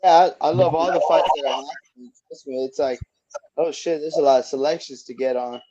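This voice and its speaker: A man's voice: a little high, fairly even intonation, a little fast, dark tone, husky, highs cut off. A man in his twenties, a boxer guest, chatting casually in an easygoing, rambling way with lots of fillers. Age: 20s. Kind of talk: casual interview chat.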